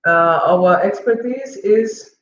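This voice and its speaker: A man from the Caribbean presents his company over a video call. His voice is high and bright, and it comes through an echoey room on dull audio. He speaks politely with a few pauses. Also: a little hiss or hum. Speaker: company representative. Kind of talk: casual spoken introduction.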